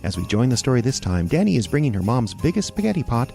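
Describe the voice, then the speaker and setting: A man's voice: medium pitch, very animated, fast talker, vocal fry, dark tone, soft background music. A man reading scripted narration and announcements for a children's radio play.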